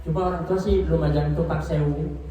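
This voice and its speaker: A man's voice: a little high, steady tone, a little fast, warm tone, lo-fi sound. A man from East Java introducing himself at a gathering in a joking, chatty way. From Malang, East Java. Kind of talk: casual self-introduction. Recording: echoey, from far off.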